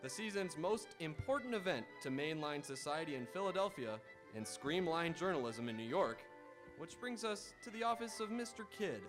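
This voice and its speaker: A man's voice: fairly high pitch, very animated, normal speed. Male radio theatre announcer over music. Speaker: announcer and narrator. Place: auditorium stage, live radio theatre.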